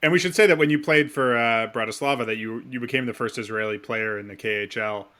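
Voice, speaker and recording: A man's voice: medium pitch, quick pace, bright, forceful tone. A man hosting a podcast, asking earnest questions with fillers. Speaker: podcast host, interviewer. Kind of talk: interview questions.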